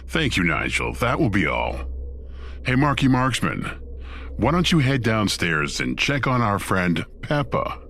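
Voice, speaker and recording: A man's voice: on the low side, very animated, measured pace, fairly bright tone, slight background noise, exaggerated voice. Man playing a smug, swooping, theatrical Satan. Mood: smug, dismissive. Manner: glib, theatrical, slangy. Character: smug, flippant Satan as a scheming deal-making boss. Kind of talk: audio drama acting.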